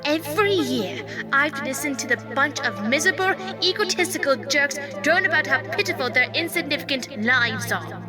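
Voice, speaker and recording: A woman's voice: high voice, lively intonation, moderate pace, over loud music. A woman voicing an exasperated, contemptuous unicorn spirit, ranting theatrically in a dramatized story reading. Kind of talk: dramatized fan fiction reading.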